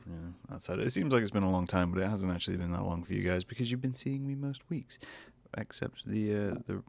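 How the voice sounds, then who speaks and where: A man with a Northern English accent, hoarse and croaky today, rambling through a casual solo podcast in a self-deprecating way. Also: on the low side, fast talker, a little mellow, no room sound. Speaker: podcast host and artist. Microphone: desk microphone close to face.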